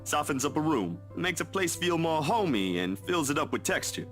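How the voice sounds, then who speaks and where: A man's voice: on the high side, sing-song, normal speed, fairly bright tone, faint music behind, pitched above the performer's own voice. A man voicing a friendly, humble, burly male photographer in a visual novel, easygoing and polite.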